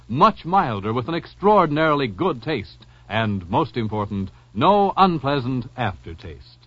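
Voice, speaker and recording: A man's voice: medium pitch, husky, no echo. A man reading a scripted 1950s radio advertisement with formal, emphatic sales delivery. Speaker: commercial announcer. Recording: dull old radio recording.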